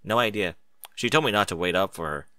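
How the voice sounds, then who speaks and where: A man's voice: sits low, fast, sharp tone, no room sound, studio quality. A man voicing an earnest, sheepish young boy dragon with a casual manner in a storybook reading. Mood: helpful.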